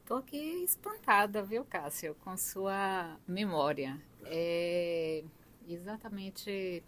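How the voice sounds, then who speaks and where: A middle-aged woman with a Bahian Brazilian accent, telling her own story conversationally as a guest, with halting, uneven emphasis. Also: medium pitch, expressive, a bit bright, a little hiss or hum. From Salvador, Bahia, Brazil. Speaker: police chief, interview guest. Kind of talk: conversational personal account.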